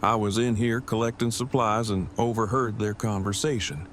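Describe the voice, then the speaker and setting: A man's voice: lowish voice, steady tone, moderate pace, a bit bright, a little hiss or hum, lightly acted. A man playing an indignant, wordy big man in an audio drama, speaking in formal, precise phrases. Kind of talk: fan audio drama acting.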